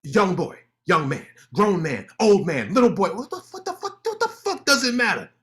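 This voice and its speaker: A man playing a scornful sharp-tongued lawyer. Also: high voice, big pitch swings, normal speed. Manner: sarcastic, cutting, confrontational. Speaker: actor in a staged reading. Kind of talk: staged play reading.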